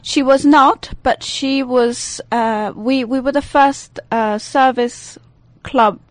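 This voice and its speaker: Woman, Swiss Italian accent, slightly high voice, halting with fillers, dry studio sound. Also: fairly even intonation, no echo. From Italian-speaking Switzerland. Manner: hesitant, searching for words with many fillers. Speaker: radio guest. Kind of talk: radio interview. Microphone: studio microphone.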